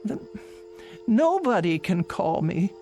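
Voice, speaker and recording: A woman's voice: mid-range voice, sing-song, takes it slowly, warm tone, faint music behind. An actress playing a fretful, stubborn elderly invalid lady in a radio drama, querulous and insistent. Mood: anxious.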